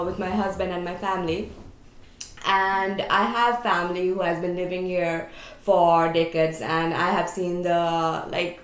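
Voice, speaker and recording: A woman's voice: on the low side, a little hiss or hum. Chatty woman panelist in an echoey room. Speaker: panelist, marketing consultant. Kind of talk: panel discussion. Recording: echoey room, faint ambience.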